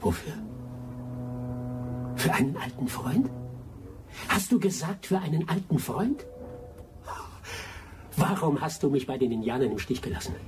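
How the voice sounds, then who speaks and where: Bitter adventurer, widely swinging voice. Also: male voice, on the high side, animated, unhurried, long pauses, music in the background. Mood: bitter anger. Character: bitter, vengeful adventurer back from the jungle. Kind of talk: German radio drama acting.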